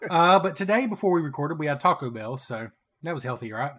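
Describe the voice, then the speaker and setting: A man with a Southern US accent chats on a podcast, wry and quick to joke. His voice sits somewhat high and sounds bright and forceful. He laughs easily between remarks. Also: animated. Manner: joking, wry, laughs often. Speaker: podcast co-host. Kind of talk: casual chat.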